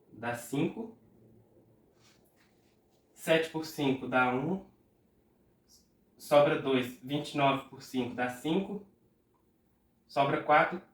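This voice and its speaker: A Brazilian man with a nasal, stuffed-up voice from a cold, pitched a touch high, talking through exam arithmetic one step at a time. He speaks patiently, like a tutor, in a room with noticeable echo. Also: recorded from a distance. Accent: Brazilian Portuguese. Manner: patient, talks through arithmetic aloud slowly. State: congested from a cold. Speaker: math tutor. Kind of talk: step-by-step exam problem walkthrough.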